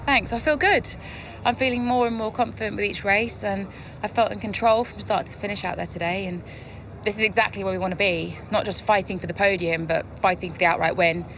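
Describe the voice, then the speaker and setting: A woman's voice: mid-range voice, fairly even intonation, brisk, a bit bright, a little hiss or hum, lightly acted. A young woman playing a confident, competitive racing driver in a game, answering in an assured, earnest way. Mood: confident, upbeat. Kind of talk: acted media interview.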